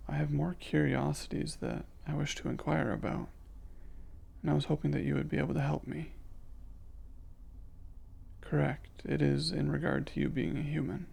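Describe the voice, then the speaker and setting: A man playing a curious, reserved male alien in an ASMR roleplay, speaking softly in formal, precise and hesitant wording. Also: fairly high pitch, vocal fry, breathy edge, heavily acted. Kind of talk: ASMR roleplay, soft intimate delivery.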